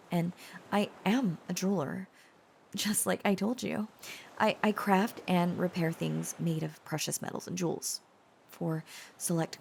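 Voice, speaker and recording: A woman playing a shy, tender young dragon-blooded girlfriend in an intimate audio roleplay, speaking hesitantly with stammers and soft pauses. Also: on the low side, vocal fry, slight background noise, full character voice. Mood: nervous, explaining.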